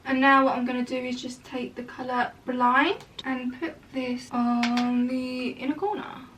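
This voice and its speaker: A young woman chats her way through a makeup tutorial, wandering into casual asides as she works. Her voice sits high and sounds a touch croaky. She is recorded at home from a little way off the mic, with a faint hiss underneath. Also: little pitch movement, unhurried, a bit bright, distant mic. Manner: chatty, rambling asides. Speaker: makeup vlogger. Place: room at home.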